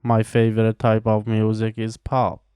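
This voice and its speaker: Careful, mellow man. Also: on the low side, monotone, smooth, no room sound, clean studio sound. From Iran. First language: Farsi. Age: 20s. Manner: slow, careful, evenly paced delivery with pauses between answers. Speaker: language teacher. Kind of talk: reading scripted practice answers.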